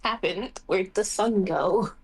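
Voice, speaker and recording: A woman's voice: medium pitch, takes it slowly, slightly rough. A woman voicing a small, naive, timid winged female character in a tabletop game. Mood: confused, scared.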